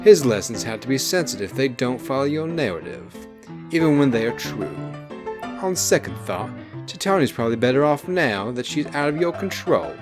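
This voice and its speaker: A man's voice: medium pitch, pitched above the performer's own voice, lightly acted. A man voicing a defiant, irreverent rebel in a fantasy story, blunt, emphatic and sardonic. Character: defiant, irreverent, plain-spoken male rebel subject. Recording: music bed behind the voice.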